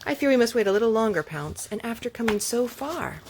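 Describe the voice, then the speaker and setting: An affectionate young Pilgrim girl read by a woman speaks earnest, old-fashioned words from a children's storybook. The home recording has clear background noise. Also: a little high, lively intonation, brisk, pitched above the performer's own voice, lightly acted. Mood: worried, earnest.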